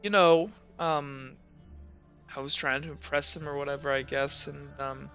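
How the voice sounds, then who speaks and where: A man voicing a candid, tearful teenage noblewoman in a tabletop game, confiding in a halting, hesitant way. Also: on the high side, fairly even intonation, a bit bright, soft background music. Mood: sad confession.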